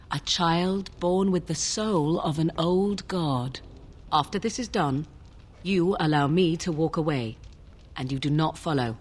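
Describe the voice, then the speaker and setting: An actress playing a mysterious, guarded young sorceress in a fantasy game, speaking in a cool, formal, archaic manner. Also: female voice, deep voice, husky. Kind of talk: fantasy video game dialogue.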